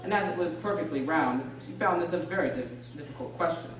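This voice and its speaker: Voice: mid-range voice, expressive, quick pace, close to an everyday voice. A narrator reading scripted storybook narration for an amateur radio drama. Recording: very echoey room, distant mic, noisy.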